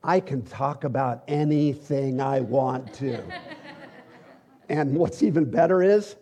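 A middle-aged man teaching at a church, relaxed and joking, with halting asides. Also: medium pitch. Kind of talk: conversational sermon.

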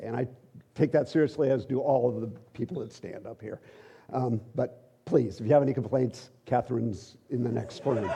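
A middle-aged man teaching at a church, relaxed and joking, with halting asides. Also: on the high side, vocal fry, breathy edge. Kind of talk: conversational sermon.

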